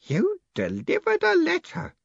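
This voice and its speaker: A man's voice: extremely high pitch, wildly swooping pitch, a little slow, vocal fry, dry recording, lo-fi sound, voice pushed up, exaggerated voice. A man voicing a little old postman in an audiobook, anxious, muttering, hesitant and fussily deferential. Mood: insistent.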